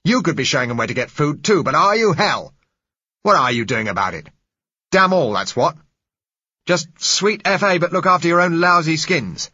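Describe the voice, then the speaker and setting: Man doing angry thirtyish agitator, loud, raised pitch, rough-educated speech, husky edge. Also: big pitch swings, moderate pace, dry recording, exaggerated voice. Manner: rough yet educated, shouting passionately. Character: angry male street agitator, about thirty, fiery. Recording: dull, slightly lo-fi recording.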